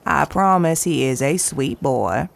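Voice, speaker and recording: An actress playing a folksy, wryly affectionate small-town horse owner in an audio drama, coaxing and unhurried in manner. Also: female voice, deep voice, expressive, creaky, heavily acted. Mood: persuasive. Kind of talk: naturalistic audio drama acting.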